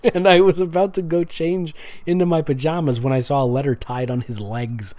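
A man's voice: on the high side, steady tone, normal speed, a little mellow. A man reading fiction aloud in an amused, sarcastic way, with casual mocking asides. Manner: amused, sarcastic, breaks off to comment. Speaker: host reading fan fiction aloud with commentary.

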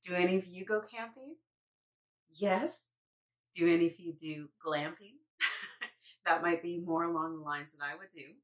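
A woman in her thirties hosting story time for small children, with an animated, sing-song way of talking. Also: lowish voice, unhurried, long pauses. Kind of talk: read-aloud for young children. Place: small indoor room with painted mural.

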